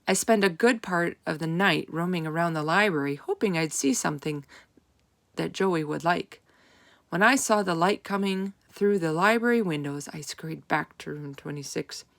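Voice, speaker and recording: A woman reading a children's storybook aloud as narrator, with expressive, deliberate emphasis. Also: fairly low pitch, creaky.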